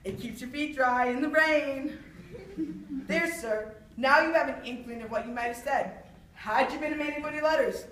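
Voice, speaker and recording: Woman playing proud mocking swordsman poet, high voice, haughty, taunting, witty stage declamation, echoey room, distant mic. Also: normal speed, fairly bright tone, slight background noise. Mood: scornful. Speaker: student actor. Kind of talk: theatrical stage monologue. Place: echoey room, far from the microphone. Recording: roomy echo, distant microphone.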